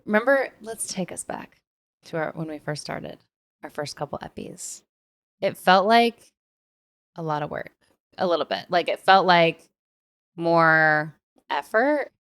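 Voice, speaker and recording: Female podcast co-host, pitched a little low, informal and slangy, thinking aloud in casual conversation. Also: unhurried, pauses often, a bit bright.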